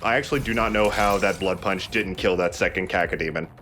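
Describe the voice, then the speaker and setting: A man's voice: low-pitched, quick pace, sharp tone. A man hosting a let's play, giving casual, joking commentary on a video game. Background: game music.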